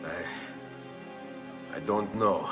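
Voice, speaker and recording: A man's voice: sits low, steady tone, extremely slow. An actor playing a brooding, determined young Italian nobleman assassin with an Italian accent in a video game. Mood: weary, urgent. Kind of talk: dramatic video game cutscene acting. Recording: game soundtrack music behind the voice.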